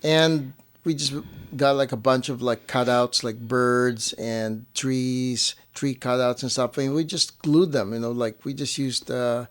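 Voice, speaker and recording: A man's voice: medium pitch, steady tone, unhurried. Man, mid-range voice, informal co-host talking through details conversationally, restating phrases to clarify. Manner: informal, repeats and rephrases to clarify. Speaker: show co-host. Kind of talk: casual conversational explanation.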